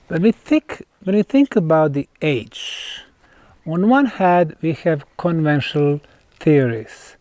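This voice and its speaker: A man with a Bosnian accent presenting an on-site documentary in deliberate, emphatic phrases broken by pauses. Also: fairly high pitch, darkish tone, dry recording. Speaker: presenter, researcher. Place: outdoors at stone ruins.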